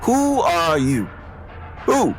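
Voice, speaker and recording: A man's voice: fairly high pitch, huge pitch swings, drawn-out words, faint music behind, voice pushed up, heavily acted, rough recording. A man voicing a defiant, violent, cranky old clockworker in a tabletop story. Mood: scornful.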